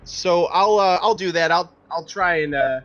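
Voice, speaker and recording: A man's voice: high-pitched, moderate pace, a bit bright, muffled recording. A man playing a tabletop roleplaying game, casually talking through his actions and improvising dialogue in a hesitant, self-correcting way.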